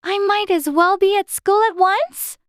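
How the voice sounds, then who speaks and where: A young storybook girl with a tiny, extremely high voice. She is well-mannered and full of wonder, with a timid streak. The sound is dry, with no room around it. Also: fairly even intonation, measured pace, fairly bright tone, no echo. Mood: put upon. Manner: prim, wondering. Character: young girl, polite, curious and a little timid.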